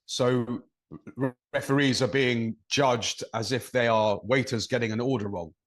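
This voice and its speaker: A man's voice: mid-range voice, fairly even intonation, a little slow. An English man, a referee and podcast co-host, giving long thoughtful explanations in casual conversation. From England. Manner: thoughtful, explains at length with examples.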